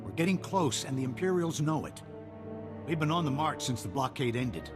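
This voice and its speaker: Male actor as husky determined general, urgent orders, video game, long reverb, loud music. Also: on the high side, animated, normal speed, close to an everyday voice. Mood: grave, urgent. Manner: commanding, urgent, terse. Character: grim, determined male army general in wartime.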